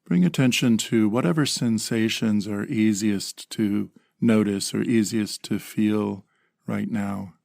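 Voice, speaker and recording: A man calmly leading a guided meditation in measured phrases with long pauses. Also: lowish voice, creaky, dry recording, clean studio sound.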